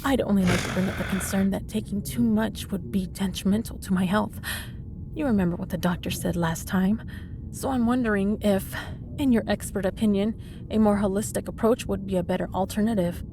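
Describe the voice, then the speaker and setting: Smug, flirty villainess over noisy rumble played by a woman. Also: lightly acted. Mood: flirtatious, mock-sweet. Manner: teasing, mock-sweet, drawn-out smug delivery. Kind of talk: comedic audio roleplay banter.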